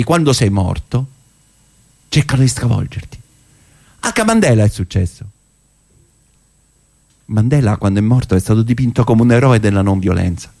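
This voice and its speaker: A man's voice: mid-range voice, a little mellow. Man delivering an ironic stage monologue. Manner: conversational, ironic, with rhetorical pauses. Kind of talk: theatrical narrative monologue.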